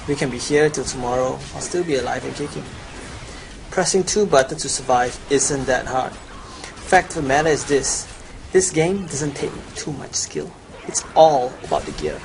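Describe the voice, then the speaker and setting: Man, Chinese accent, young adult, boastful sales pitch, desk mic, faint background noise. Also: gravelly. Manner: boastful, salesy, walks through gear stats. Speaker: gamer promoting a gold guide. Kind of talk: scripted promotional voice-over with gameplay.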